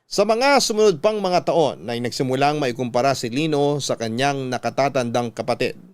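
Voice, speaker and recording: A man narrating a scripted radio drama in a measured storytelling way. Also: medium pitch, clear, resonant tone, no echo.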